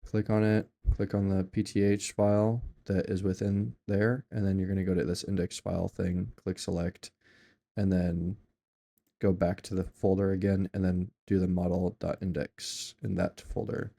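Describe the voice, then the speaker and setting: Low gravelly guy rambling through a tutorial, kinda flat, lots of ums. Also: normal speed. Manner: rambling, offhand, with many ums and self-corrections. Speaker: tutorial maker. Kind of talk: casual screen-recorded software tutorial.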